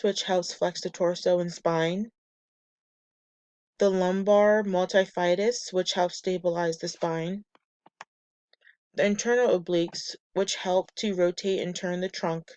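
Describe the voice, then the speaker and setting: A woman presenting a class lesson from slides, a bit nasal and raspy from a cold, reading steps and lists in a measured way. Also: lowish voice, darkish tone, dry recording. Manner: measured, reads steps and muscle lists methodically. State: getting over a cold, slightly nasal and raspy. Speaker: student presenter.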